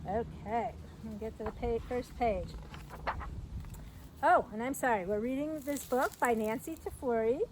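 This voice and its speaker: A middle-aged woman reads a picture book aloud to young children in an expressive, sing-song storytelling manner with chatty asides. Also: fairly high pitch, normal speed. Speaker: park educator hosting a children's storytime. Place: outdoors by a fence at a trailhead. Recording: outdoor recording with background noise.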